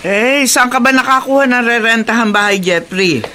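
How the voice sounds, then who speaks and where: An elderly Filipino grandmother in a radio play. Her voice is sharp and bright, concerned about her family. There is slight noise behind her. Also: on the high side, normal speed. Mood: concerned, fond. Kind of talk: Filipino radio drama acting.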